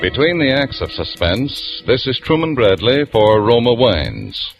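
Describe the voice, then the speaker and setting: A man reading a sponsor's commercial in an old radio broadcast, with a smooth, persuasive salesman's cadence. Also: medium pitch, big pitch swings, unhurried, over music. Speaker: sponsor announcer.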